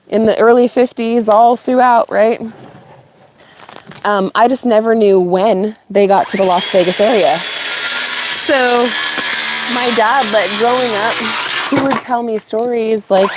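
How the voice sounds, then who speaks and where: A middle-aged woman from California hosting a livestream, telling a rambling family story in a casual, animated way and often breaking into laughter. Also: medium pitch, very noisy. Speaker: channel host.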